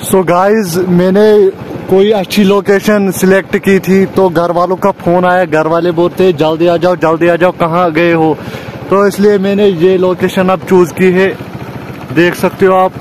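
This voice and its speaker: A young man talks casually to his vlog audience. He is chatty and often repeats phrases for emphasis. The phone recording, made at arm's length outdoors, has clear background noise. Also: sits high, low-quality recording. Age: 20s. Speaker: vlogger. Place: outdoors on village roads, paths and by water. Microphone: handheld phone at arm's length.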